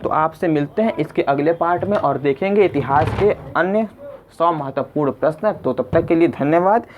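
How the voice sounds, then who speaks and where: A man's voice: a little high, moderate pace, a little hiss or hum. Man teaching a quiz, dull lo-fi recording. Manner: addresses listeners as friends, poses and repeats questions. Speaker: quiz teacher and video host. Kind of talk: question-and-answer exam lesson narration.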